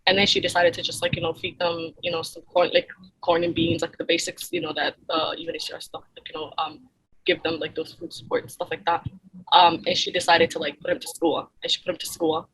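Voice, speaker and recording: A woman's voice: fairly low pitch, fairly quick, creaky, fairly bright tone, slightly rough, rough recording. A young woman from Kenya, a nonprofit founder, answering interview questions in a rambling way full of "like" and "you know".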